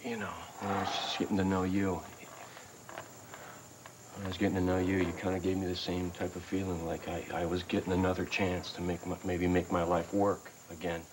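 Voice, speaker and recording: An actor playing an easygoing young boyfriend on a 1990s daytime soap who talks calmly and reassuringly. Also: male voice, low voice, fairly quick, natural voice. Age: young adult. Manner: calm, reassuring, some restarts. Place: indoor studio set. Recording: noisy soap broadcast recording.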